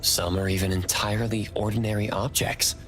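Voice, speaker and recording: A man playing a calm, analytical young investigator in an anime-style video game, speaking in a measured, thoughtful way. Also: lowish voice, music in the background, close to an everyday voice.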